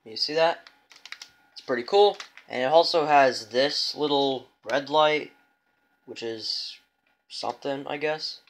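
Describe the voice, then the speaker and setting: A man giving a casual show-and-tell as a vlogger, rambling and halting as he goes. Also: mid-range voice. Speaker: vlogger showing a radio collection. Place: indoors in a room at home.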